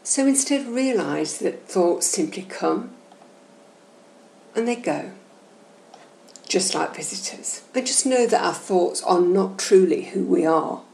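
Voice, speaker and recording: A woman guiding a meditation session in a soothing, gentle, measured and instructional way. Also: fairly low pitch, animated, vocal fry. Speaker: guided meditation host. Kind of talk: slow, soothing guided meditation narration. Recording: roomy echo, faint background noise.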